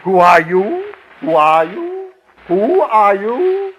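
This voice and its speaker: An actor playing a scheming prisoner who feigns guilt-crazed madness, in melodramatic vintage radio drama style, swinging between muttered asides and rants. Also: male voice, high voice, sing-song, takes it slowly, a bit bright, dull audio, slight background noise, full character voice. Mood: haughty, insistent.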